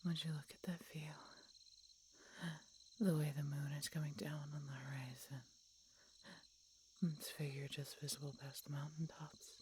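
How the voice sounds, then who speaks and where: A woman playing an ancient, injured female dragon in an audio roleplay, speaking tenderly and haltingly in a breathy whisper, close to the listener. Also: sits low, level tone, lightly acted. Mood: gentle, content. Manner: slow, halting, tender delivery with long pauses. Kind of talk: audio roleplay acting, addressed intimately to the listener. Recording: clear background noise, night insects. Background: night ambience with crickets.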